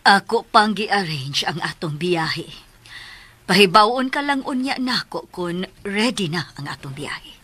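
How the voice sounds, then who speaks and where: A woman playing a patient, weary middle-aged mother in a Filipino radio drama, husky and gently admonishing, with a Filipino accent. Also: mid-range voice, lively intonation, a little slow, lightly acted. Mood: guarded, gentle.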